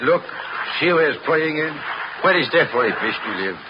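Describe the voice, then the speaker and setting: An actor playing a grumbling, excitable fisherman in a 1930s radio drama. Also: fairly high pitch, moderate pace, natural voice. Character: grumbling French fisherman on the Seine. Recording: noisy muffled 1930s radio.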